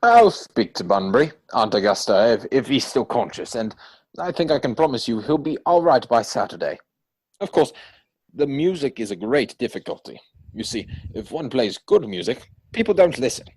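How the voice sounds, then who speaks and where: A man playing a flippant young Victorian gentleman in a stage comedy reading, with glib, mock-earnest theatrical delivery. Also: medium pitch, big pitch swings, moderate pace, husky, low-quality recording. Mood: smooth, coaxing.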